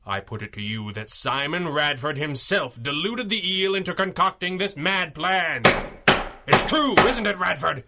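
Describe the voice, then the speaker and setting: An actor playing a confident, shrewd masked superhero, speaking formally and eloquently in a 1930s-style radio serial. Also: male voice, on the high side, lively intonation, unhurried, pushed and bright, a little hiss or hum, heavily acted. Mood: pressing, triumphant.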